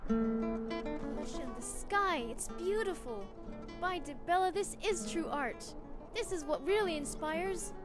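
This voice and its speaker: A dreamy, nature-loving bard from a fantasy game, played by a woman, with a very high, wonderstruck voice. Loud plucked lute music plays behind her. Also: animated, slow pace. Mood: awestruck. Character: dreamy female bard, awestruck lover of nature. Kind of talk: fantasy video game voice acting.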